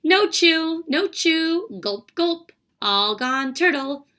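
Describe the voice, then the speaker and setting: A woman's voice: extremely high pitch, animated, slow, bright, forceful tone, voice pushed up, heavily acted. A woman voicing a mischievous toddler boy who speaks in baby talk.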